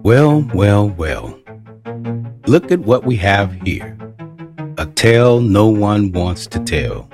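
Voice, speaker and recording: A man's voice: on the low side, big pitch swings, slow pace, full character voice. A man acting as a wry, knowing storyteller in scripted dramatic narration. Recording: music under the narration.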